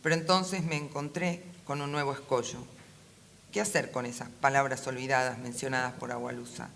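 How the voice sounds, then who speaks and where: A woman with a Rioplatense Argentine accent reads a prepared talk aloud in a measured, formal, literary manner as a panel speaker. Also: very deep voice, steady tone, creaky, a bit bright. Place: conference room at a book fair. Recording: faint ambient event noise.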